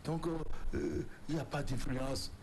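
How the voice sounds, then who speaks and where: A man's voice: mid-range voice, animated, a little slow, darkish tone, a little hiss or hum. A man from Benin, speaking as a political guest in a televised debate. He talks emphatically and declaratively, often stumbling and restarting his phrases. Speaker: political guest, resigned deputy. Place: TV studio.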